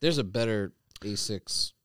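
A man's voice: on the low side, measured pace, a bit bright, no echo, clean studio sound. A man co-hosting a casual podcast, playfully arguing with the others in loose banter. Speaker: podcast co-host. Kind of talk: casual group banter about sneakers.

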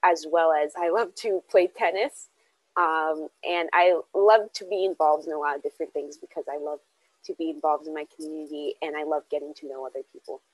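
A woman's voice: low-pitched, steady tone, fairly quick, dry recording, rough recording. A young woman, a college freshman, answering interview questions earnestly, with frequent ums and restarts. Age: young adult. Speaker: interview guest.

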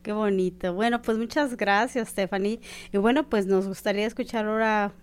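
A woman's voice: medium pitch, fairly even intonation, moderate pace, clear, resonant tone. A middle-aged woman interviewing students, asking friendly questions in an encouraging manner with many fillers.

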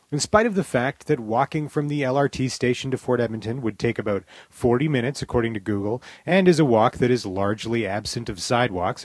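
Husky-voiced man reading scripted commentary, dry sound. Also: mid-range voice, no room sound. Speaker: podcast host.

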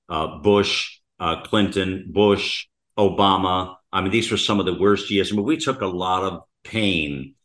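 An older American man with a low-pitched voice speaks as a talk radio host. His commentary is conversational and emphatic, with phrases repeated to add weight. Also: fairly bright tone.

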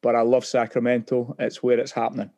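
A man's voice: medium pitch, level tone, fairly quick, darkish tone. Scottish man with dry humor. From Scotland. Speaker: pastor and club chaplain. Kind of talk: casual podcast chat.

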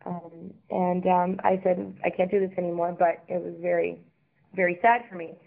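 A woman in her forties from Miami, a podcast guest talking in a chatty, warm way with frequent ums. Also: on the low side, fairly even intonation, normal speed, gravelly. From Miami, Florida. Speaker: interview guest. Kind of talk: podcast interview. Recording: tinny phone line.

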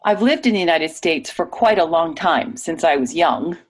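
A woman reading a guest's typed interview answers aloud in a clear, even manner. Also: low-pitched, moderate pace.

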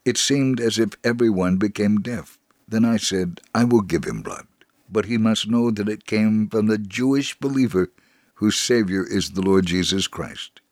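A man giving a scripted dramatic reading of a first-person story in a measured, earnest way. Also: lowish voice, lively intonation, darkish tone, no echo, clean studio sound. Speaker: dramatic reader of a testimony.

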